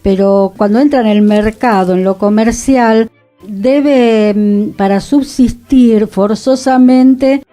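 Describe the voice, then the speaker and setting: A woman's voice: a little high, dark tone. A woman co-hosting a cultural radio talk show, speaking in a thoughtful, conversational way. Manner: thoughtful, conversational, with occasional laughs. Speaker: radio co-host. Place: radio studio.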